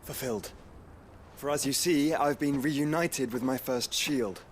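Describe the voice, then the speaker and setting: An actor playing a scholarly young nobleman in a fantasy game, speaking formal archaic lines in a measured, soft, courtly way. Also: mid-range voice, slight background noise, close to an everyday voice. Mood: composed.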